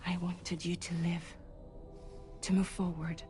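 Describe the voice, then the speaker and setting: An actress playing a devoted woman gently pleading with and reassuring a grieving friend in a video game cutscene. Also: lowish voice, level tone, measured pace, natural voice. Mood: tender. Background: music score.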